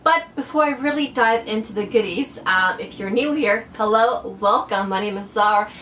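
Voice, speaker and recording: A woman's voice: medium pitch, normal speed, a bit bright. A young woman whose first language is Farsi, chatting eagerly through a fashion haul with many fillers. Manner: enthusiastic, chatty, frequent fillers. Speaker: fashion and beauty vlogger. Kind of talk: casual haul video. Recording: echoey room, faint background noise.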